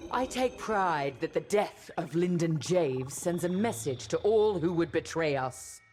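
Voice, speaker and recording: An actress playing a proud, ruthless Imperial squadron leader, giving a grandiose, measured speech in a video game. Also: female voice, on the low side, expressive, a little mellow, faint music behind. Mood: proud menace. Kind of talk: cinematic game monologue.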